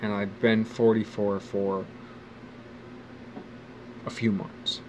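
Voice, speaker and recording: A man's voice: on the low side, level tone, slow, slight background noise, rough recording. A man in his forties rambling casually about his life in a wry, profane, musing way, speaking outdoors. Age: 40s. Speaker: vlogger. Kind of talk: rambling personal monologue. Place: outdoors near a bench.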